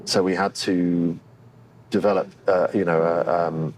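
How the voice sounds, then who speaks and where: A low-pitched man talks candidly as an interviewed actor. He is thoughtful and halting, searching for the right words as he goes. There is clear background noise around his voice. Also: little pitch movement, a little mellow.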